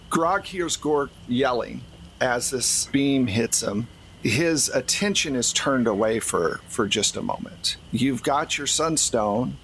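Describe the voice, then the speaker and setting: A man's voice: medium pitch, fairly bright tone, low-quality recording. A man running a tabletop roleplaying game as game master, narrating scenes descriptively and conversationally. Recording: faint background ambient noise.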